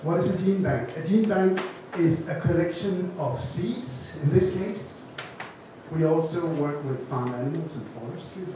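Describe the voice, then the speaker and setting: A man's voice: fairly high pitch, unhurried, far from the mic, background noise. Danish man speaking in an echoey hall. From Denmark. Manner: informal, self-correcting, with handheld mic. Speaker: gene bank speaker. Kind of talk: seminar talk to an audience. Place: large room with seated audience. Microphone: handheld mic, far camera. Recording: echoey room recorded from afar.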